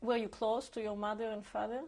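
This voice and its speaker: A woman's voice: a little high, little pitch movement, fairly quick, darkish tone. A woman interviewer asking brief, polite questions in an oral history interview.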